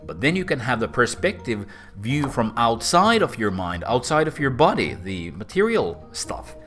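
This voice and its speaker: Finnish-accented male host, mid pitch, animated explainer, soft music underneath. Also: normal speed. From Finland. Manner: animated, swooping pitch, playful asides. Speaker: host and philosopher. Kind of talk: casual explainer talk to camera.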